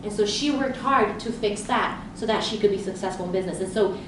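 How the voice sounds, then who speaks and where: A woman's voice: mid-range voice, fairly quick. Woman coaching in a very echoey room. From grew up in Southern California. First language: Romanian. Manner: lively storytelling with quoted inner thoughts. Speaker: leadership coach and speaker. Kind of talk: live conference presentation. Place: event room with an audience. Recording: very echoey room, voice far from mic, background noise.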